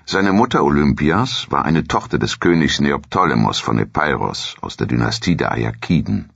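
A man narrates an audio documentary in a formal, measured way. His voice is rough and creaky, with a husky grain. The dry recording sounds a little muffled, as if storytelling in a small dead studio. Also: rumbling low, expressive, a bit bright, no echo.

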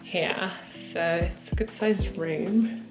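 A woman's voice: lowish voice, slow pace, music in the background. A young woman giving a chatty house tour, full of "like" and half-finished thoughts. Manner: chatty, filler-heavy, trailing off mid-thought. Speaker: vlogger. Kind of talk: casual house tour chat. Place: empty new house and garden. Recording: echoey empty rooms.

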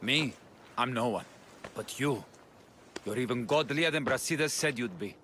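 An actor playing a sly, smirking, cowardly informant in a video game, with a taunting, theatrical manner. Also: male voice, medium pitch, normal speed, a bit bright, a little hiss or hum, natural voice. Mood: sly, mocking.

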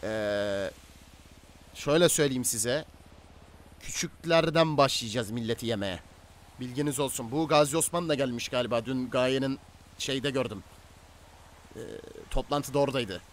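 A man's voice: medium pitch, normal speed, pauses often, lightly acted. Man playing a stern, menacing crime boss. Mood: commanding. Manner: domineering, interrogating, issuing orders and threats. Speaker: gang boss in an online roleplay game. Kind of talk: improvised game roleplay dialogue.

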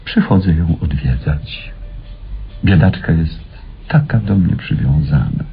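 A man acting in a vintage radio play as an eccentric, excitable chemist who rambles with melancholy, halting and then exclaiming theatrically. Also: rumbling low, gravelly, husky, full character voice. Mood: mournful, confiding. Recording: muffled old radio recording with music.

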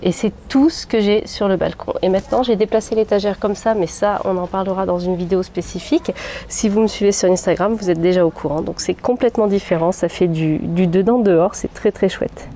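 A woman's voice: medium pitch, a little fast, mellow, slight background noise. Chatty woman with a head cold. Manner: chatty, rambling with many fillers and restarts. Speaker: gardening vlogger. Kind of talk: casual plant tour vlog. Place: small sunny balcony outdoors. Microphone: phone held at arm's length.

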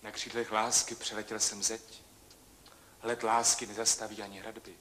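An actor playing an ardent young lover who declaims verse solemnly. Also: male voice, fairly low pitch, level tone, bright, forceful tone, a little hiss or hum.

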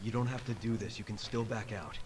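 An actor playing a tough, determined soldier hero in earnest game cutscene dialogue. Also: male voice, lowish voice, a little fast, soft background music, natural voice.

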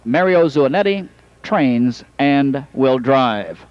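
A man announcing a harness race, introducing the field and then calling the running in a rapid rhythmic style that builds toward the finish. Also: mid-range voice, expressive, no echo, highs cut off.

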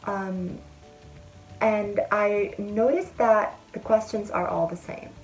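Young woman, slow unhurried speech, chatty advice vlog, faint music underneath. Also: medium pitch, steady tone, poor audio. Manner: chatty, friendly, explains things step by step. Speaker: vlogger. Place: indoor room with a bead-curtain backdrop.

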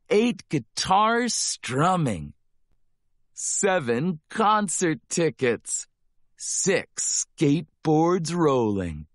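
A man reading a rhyming children's picture book aloud as narrator, with a playful, drawn-out delivery. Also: medium pitch, no echo, clean studio sound. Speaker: storybook narrator.

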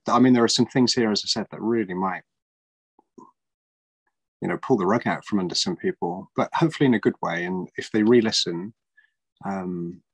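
A man's voice: sits low, husky, no echo, rough recording. A middle-aged man hosting a podcast over a video call, speaking thoughtfully with many fillers and restarts. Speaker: podcast host. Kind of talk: casual interview chat. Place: small room at home.

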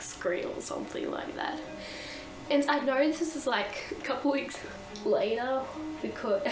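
A woman's voice: a little high, reverberant, rough recording. Aussie teen girl w a blocked nose, rambly gushy bedroom vlog, music in the background. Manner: rambling, gushing, self-correcting. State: sick with a blocked nose, losing her voice. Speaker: vlogger. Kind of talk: casual vlog chat. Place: bedroom, indoors. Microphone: camera in front of her.

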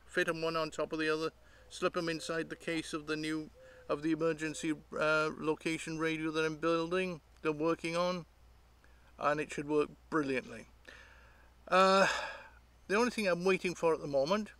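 A middle-aged British man presents his hobby projects in a casual, off-the-cuff style. His voice sits a little higher than most men's, and he rambles hesitantly as he explains. He is recorded outdoors, with a dry sound free of echo. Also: pushed and bright, clean tone. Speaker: host of an electronics hobby channel. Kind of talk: casual unscripted show-and-tell. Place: outdoors by leafy trees.

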